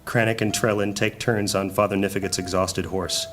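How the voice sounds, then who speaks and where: A man's voice: lowish voice, flat delivery, moderate pace, a bit bright, faint music behind, close to an everyday voice. A man narrating a scripted fantasy audio drama in a solemn storyteller's manner. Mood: measured, solemn.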